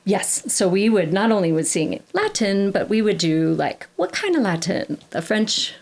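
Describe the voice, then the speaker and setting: A woman's voice: medium pitch, sing-song, normal speed, darkish tone. A woman, a classical singer, chatting as a podcast guest in a rambling, self-correcting way with many fillers. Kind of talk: casual conversational interview.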